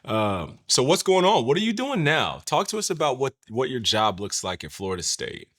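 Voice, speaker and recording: A man born in Nigeria and raised in the US, hosting a podcast and eagerly firing off questions to his guest in a casual way. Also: mid-range voice. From born in Nigeria, raised in United States. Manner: energetic, eager, questions in quick bursts. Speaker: podcast host. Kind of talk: casual interview chat.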